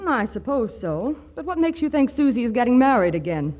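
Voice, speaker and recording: An actress playing a wry, sharp-tongued studio secretary in a 1940s radio comedy. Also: female voice, fairly high pitch, very animated, brisk. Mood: wry, probing. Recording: old radio broadcast, studio audience.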